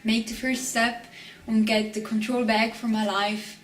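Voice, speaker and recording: A woman in her twenties from Switzerland, speaking English with a Swiss German accent as she narrates her own story in a soft-spoken, halting way. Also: on the high side, little pitch movement, echoey room, distant mic, a little hiss or hum. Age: 20s. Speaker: documentary subject. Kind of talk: personal documentary narration.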